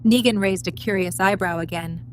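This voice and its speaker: A woman's voice: medium pitch, normal speed, rough recording. A woman reading scripted story narration as the narrator of an audio drama. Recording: faint background hum and noise.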